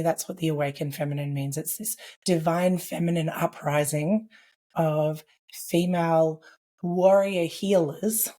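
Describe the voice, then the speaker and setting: Woman, low and hoarse from laryngitis, earnest reflective podcast guest. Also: darkish tone. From Australia. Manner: earnest, reflective, with frequent "like" and "I guess". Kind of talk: conversational podcast interview.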